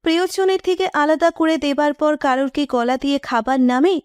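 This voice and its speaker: High-pitched woman narrating a story. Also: fairly even intonation, normal speed, darkish tone, no room sound, studio quality. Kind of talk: scripted romantic story narration.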